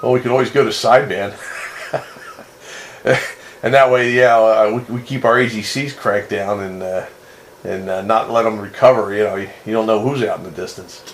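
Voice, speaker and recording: A man in casual on-air radio chat, speaking haltingly and repeating phrases, heard over a two-way radio. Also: fairly low pitch, steady tone. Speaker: amateur radio net operator. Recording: noisy radio transmission with static.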